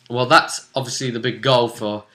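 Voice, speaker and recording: A man chatting casually as a game master, recapping a tabletop role-playing session in an animated storytelling way with hesitant asides. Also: mid-range voice, measured pace.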